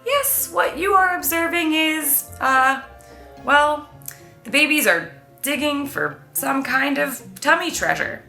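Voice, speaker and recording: Woman voicing an excitable baby kitten safari guide, bright. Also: high voice, takes it slowly, roomy sound, soft background music, pitched above the performer's own voice, exaggerated voice. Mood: puzzled, improvising. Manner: theatrical tour-guide patter, exclaiming.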